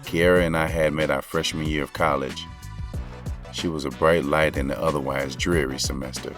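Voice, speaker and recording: A very deep-voiced American young male basketball coach, lovestruck and full of regret. He talks straight to the listener in a slangy, intimate, confessional way, as in an audio drama, with music underneath. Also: fairly even intonation, moderate pace, fairly bright tone. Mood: wistful. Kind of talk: first-person audio drama narration, conversational.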